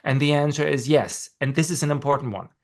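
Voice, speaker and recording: A middle-aged man with a clear German accent talks through his ideas on a webinar panel from his office. His delivery is loose and conversational, with plenty of "right?" check-ins and the odd laugh at his own expense. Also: a little high, brisk. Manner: explanatory, with frequent "right?" tags and self-deprecating laughs. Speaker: panelist and course instructor. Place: home office.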